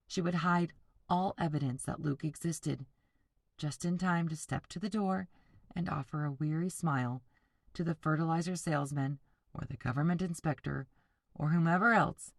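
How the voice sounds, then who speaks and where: A woman teacher reading a novel aloud to students, pausing to explain and ask them to picture things. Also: low-pitched, gravelly, no echo. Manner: patient, explanatory, addresses students directly. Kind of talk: classroom read-aloud with discussion breaks.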